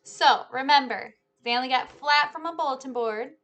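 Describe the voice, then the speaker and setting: Bright, higher-pitched woman reading to children. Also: normal speed. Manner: addresses listeners as friends, explanatory. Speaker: teacher-style read-aloud host. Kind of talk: children's book read-aloud. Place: small living room or home office, indoors.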